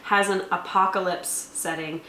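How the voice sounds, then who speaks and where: Woman, slightly low voice, casual explanatory game-review talk, roomy echo with faint noise behind. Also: fairly even intonation, moderate pace, sharp tone. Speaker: game master and co-host reviewing a tabletop roleplaying game.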